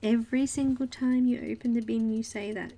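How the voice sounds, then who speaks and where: Australian woman, art-stream host, chatty and giggly, a touch high. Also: fairly even intonation, a little fast, warm tone. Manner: chatty, giggly, thinking aloud while drawing. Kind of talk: live coloring tutorial chat.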